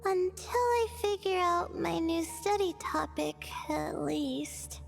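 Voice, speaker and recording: A woman playing a timid, self-doubting young female student in a video game, gentle and modest, with a hesitant, soft-spoken delivery. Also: very high voice, takes it slowly, creaky, faint music behind, heavily acted. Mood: wistful.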